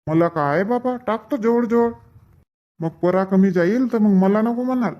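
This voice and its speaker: A man's voice: high-pitched, measured pace. A man voicing a bantering, grumbling village farmhand in a comedy cartoon, with a rural Vidarbha Marathi accent and a drawling, colloquial delivery. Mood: grumbling, defensive. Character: male villagers in a comic paddy field, bantering and grumbling. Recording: dull, muffled lo-fi audio.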